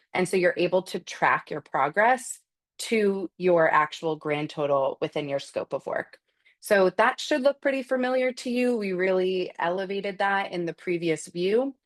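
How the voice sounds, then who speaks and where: A woman hosting a live webinar as a product trainer, explaining a software feature step by step in a conversational way and addressing attendees directly. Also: on the low side. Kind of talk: live screen-share walkthrough, instructional.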